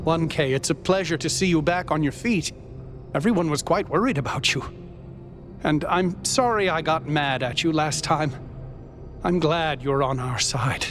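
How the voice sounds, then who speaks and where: Man playing a higher-voiced idealistic robot. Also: moderate pace, over music, natural voice. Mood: hopeful. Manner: earnest, heartfelt. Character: hopeful, idealistic male robot citizen, sincere friend.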